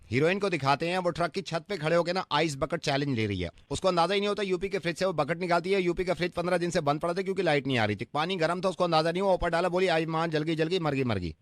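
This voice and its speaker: A man's voice: a little high, racing, hardly any pauses, dry recording. Man doing radio prank calls, dry close sound. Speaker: radio prank-call host. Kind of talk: prank phone calls in character.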